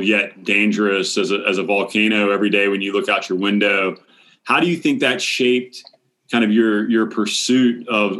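Low-voiced man hosting, rambling questions. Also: a bit bright. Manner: rambling, searching questions with restarts. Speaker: podcast host. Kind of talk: casual interview questions.

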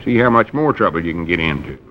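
A man's voice: deep voice, lively intonation, fast. A man playing a fussy, hot-tempered rural old storekeeper in 1940s radio comedy acting, speaking in a folksy drawl with a fretful, blustering manner. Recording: dull old radio broadcast with faint hum.

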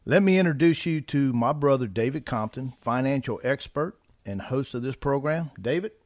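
A man co-hosting a financial radio show, announcing breaks and reading out contact details slowly. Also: medium pitch, expressive, dry recording. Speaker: radio co-host.

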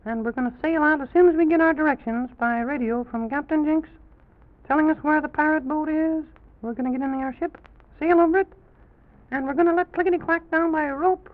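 A man voicing an excitable, bumbling, boastful little president who stammers and repeats his words in an old children's radio story. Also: very high-pitched, brisk, voice pushed up. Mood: determined, proud. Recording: dull, muffled old radio recording.

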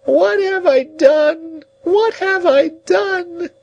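Brief: male reader doing a grief-stricken elderly lady, high and strained, drawn-out anguished wails in a storybook reading. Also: lively intonation, vocal fry, breathy edge, dry recording, lo-fi sound, heavily acted. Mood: despairing. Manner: wailing, pleading, drawn-out cries. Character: heartbroken old recluse woman, despairing.

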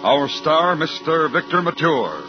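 A man's voice: fairly high pitch, sing-song, measured pace. A man formally and dramatically introducing a 1950s radio thriller as its announcer. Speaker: program announcer. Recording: dull vintage radio broadcast with music.

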